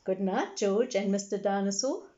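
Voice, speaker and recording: Woman as an elderly granny pig, kindly, patient, gentle coaxing, storybook character. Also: medium pitch, normal speed, muffled recording, close to an everyday voice.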